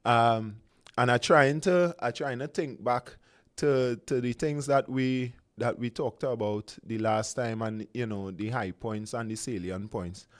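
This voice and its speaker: A man with a Trinidadian accent hosting a relaxed radio interview, chatty and loose, often laughing and searching for words with fillers. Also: mid-range voice, unhurried. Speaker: radio show host.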